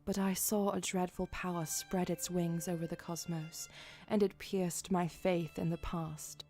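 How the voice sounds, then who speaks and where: A woman playing the grave, sorrowful last survivor of a fallen fantasy race, reading a confession in a measured, poetic way. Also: on the low side, close to an everyday voice. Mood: haunted. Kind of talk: solemn dramatic reading of a confession. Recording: musical score under the voice. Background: music.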